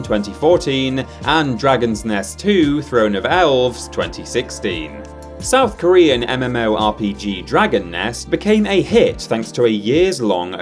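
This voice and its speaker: A man presents a scripted list countdown with very lively, swooping intonation. His delivery is wry and tongue-in-cheek, set over background music. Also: medium pitch. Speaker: presenter of a ranked list video.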